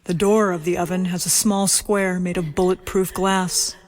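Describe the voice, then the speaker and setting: A woman narrating a scripted horror audio drama in measured, short present-tense statements. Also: lowish voice, steady tone. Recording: loud music under the voice. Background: loud music.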